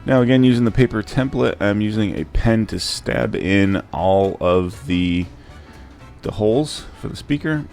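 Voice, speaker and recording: Low-voiced man casually explaining a craft build. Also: unhurried, vocal fry, darkish tone. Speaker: DIY prop maker and channel host. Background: music.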